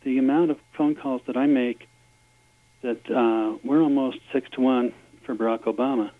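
A man calling a radio show as a campaign volunteer, earnest and halting with frequent fillers. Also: mid-range voice, steady tone, no room sound. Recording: tinny phone line.

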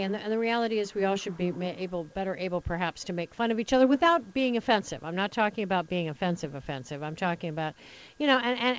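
A woman's voice: medium pitch, poor audio. An American woman from Colorado hosting a call-in radio show, talking conversationally and with opinion, emphatically repeating phrases to make a point. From Colorado, United States. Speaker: radio talk show host.

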